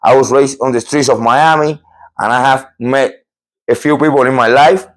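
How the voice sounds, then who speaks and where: A middle-aged man from Cuba, hosting a podcast and telling a true story in an animated, emphatic way with many repeats and restarts. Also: medium pitch, normal speed. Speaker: podcast host. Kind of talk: storytelling a true scary encounter.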